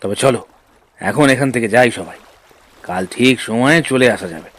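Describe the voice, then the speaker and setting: A man's voice: mid-range voice, normal speed, fairly bright tone, lightly acted. A man voicing a plain-spoken, decisive village fisherman in a Bangla cartoon.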